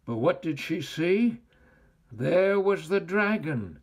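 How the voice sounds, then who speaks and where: Slow, animated older male storyteller. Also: on the high side, slightly rough. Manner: expressive, deliberate phrasing. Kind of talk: theatrical children's read-aloud narration.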